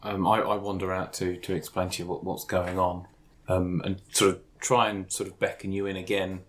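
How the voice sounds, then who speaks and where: Low, even-voiced man playing a game. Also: moderate pace, slight background noise. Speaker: tabletop game player. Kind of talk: casual game-table chat.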